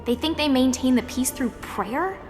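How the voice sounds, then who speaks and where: Game drama teen female actor: cheeky, questioning, judgmental, sarcastic edge, clear music bed underneath. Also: high-pitched, moderate pace, a little mellow, natural voice. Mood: scornful. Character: skeptical, cheeky seventeen-year-old, blunt and judgmental. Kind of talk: acted audio drama dialogue and narration. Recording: music behind the voice.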